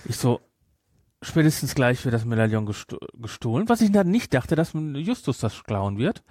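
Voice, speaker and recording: A man's voice: medium pitch, sing-song, moderate pace, darkish tone, dry recording. A man hosting a podcast, chatting casually about a film with sarcastic, incredulous asides. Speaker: podcast host. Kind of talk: casual film discussion chat.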